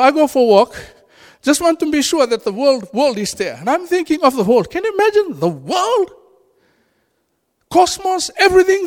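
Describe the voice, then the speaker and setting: A man with a Fijian accent, a native Fijian speaker, preaching an informal sermon in an animated way and laughing often. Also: extremely high pitch. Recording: reverberant hall.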